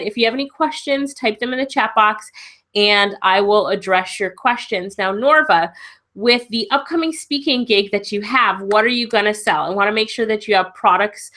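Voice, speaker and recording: A woman's voice: fairly high pitch, normal speed, sharp tone. A woman with a cold and a stuffy nose hosting a live webinar Q and A as a business coach, chatty and encouraging, laughing often. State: congested with a cold.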